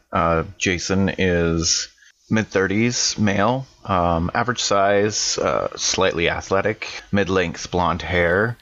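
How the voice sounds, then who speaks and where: Very deep-voiced man, slightly muffled. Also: fairly bright tone, no echo. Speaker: tabletop game player. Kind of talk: improvised character description.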